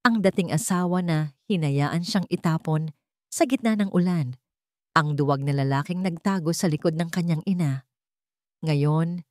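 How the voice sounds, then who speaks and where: A woman's voice: sits low, dark tone, no room sound, clean studio sound. A woman narrating a scripted story in a dramatic storytelling style.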